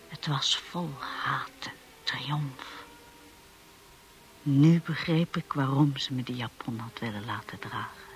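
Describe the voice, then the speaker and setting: An actress playing a timid, earnest young wife who tells her own story in a radio drama, with a husky, breathy voice. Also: low voice. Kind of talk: radio drama acting and first-person narration.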